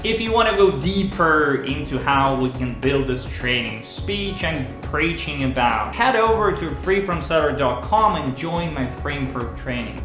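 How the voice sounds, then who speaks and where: A man hosting a vlog as a speech coach, talking in a slow, deliberate and earnest way to his viewers. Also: on the high side. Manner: slow, deliberate, earnest delivery with measured pauses. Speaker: speech coach and channel host. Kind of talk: direct-to-camera vlog talk. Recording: roomy echo with faint music underneath.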